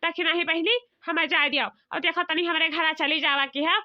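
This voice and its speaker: Cartoon village elder sister female performer: bossy, fretful, very high voice, Awadhi accent, muffled lo-fi sound. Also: fairly even intonation, moderate pace. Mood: fretful, chatty. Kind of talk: comedy cartoon dialogue.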